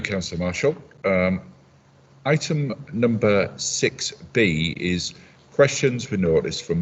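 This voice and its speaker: A man who chairs a council meeting in a measured, formal way and reads from a script. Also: low-pitched, slightly rough. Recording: dull lo-fi audio.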